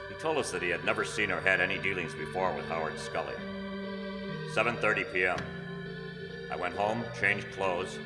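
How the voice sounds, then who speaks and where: A man playing a terse undercover police detective in a radio crime drama, matter-of-fact and deadpan, recorded in an echoey hall. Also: sits low, close to an everyday voice. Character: terse undercover police detective narrating his own case. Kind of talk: clipped radio crime drama narration and dialogue. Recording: echoey hall, loud music behind.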